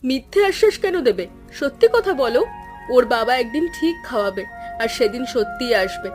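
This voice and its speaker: A woman's voice: a little high, expressive, normal speed, a little mellow, faint music behind, lightly acted. An actress playing a patient village wife and mother in a cartoon folk tale, speaking in a consoling, reassuring manner. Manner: soothing, reassuring.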